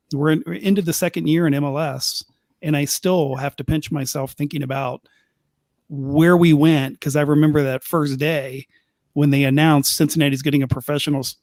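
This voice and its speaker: Man, fairly high voice, emphatic, repeats points, dry sound. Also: darkish tone, dry recording. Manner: emphatic, repeats himself for stress. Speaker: podcast co-host. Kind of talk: casual sports podcast chat.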